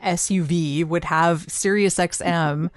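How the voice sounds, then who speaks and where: Female podcast host, lowish voice, casual interview chat, close dry sound. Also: fairly even intonation, slow pace, dry recording.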